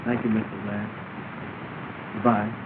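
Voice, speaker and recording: A man playing an earnest downcast young gentleman. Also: fairly low pitch, level tone, a little slow, close to an everyday voice. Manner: polite, formal, downcast speech. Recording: tinny, hissy 1930 film soundtrack.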